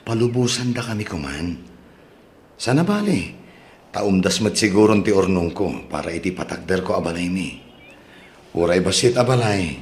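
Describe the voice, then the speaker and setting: A man playing a patient, devoted young husband in an Ilocano radio drama, gentle and pleading, with an Ilocano accent. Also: sits low, lively intonation, moderate pace, pauses often.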